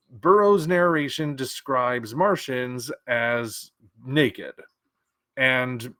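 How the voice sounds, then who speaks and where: A man's voice: mid-range voice, dry recording. Man musing over sci-fi news. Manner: musing, thinks aloud with restarts and fillers. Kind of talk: casual opinion commentary.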